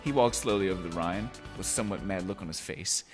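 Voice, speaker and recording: A man's voice: low voice, fast talker, over music. A man doing a theatrical, comedic dramatic reading of a story, exaggerated and full of ad-libbed asides.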